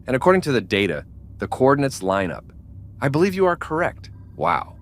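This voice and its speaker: A man playing a helpful starship doctor in an amateur sci-fi drama, talking through his work in a measured way. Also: mid-range voice, wildly swooping pitch, a little hiss or hum. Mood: focused. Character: obliging male starship doctor working the data displays.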